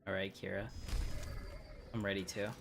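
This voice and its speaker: A man streaming himself playing a game, talking in a loose, rambling way into a desk mic. His voice sits a little low. A faint hiss runs under it. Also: monotone, normal speed, creaky. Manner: rambling, easygoing, many fillers. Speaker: livestream gamer. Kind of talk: casual gameplay commentary.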